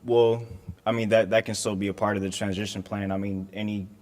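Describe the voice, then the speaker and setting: Low voiced guy at a community meeting, lots of ums, long hall echo. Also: flat delivery, a little mellow. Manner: measured, with frequent ums. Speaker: county staff member answering residents. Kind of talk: public meeting Q&A.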